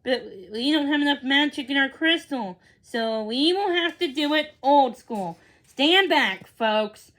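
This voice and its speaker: A woman voicing an eager man on a rescue mission in a storybook read-along, with a brisk, upbeat delivery. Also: high-pitched, animated, fairly bright tone.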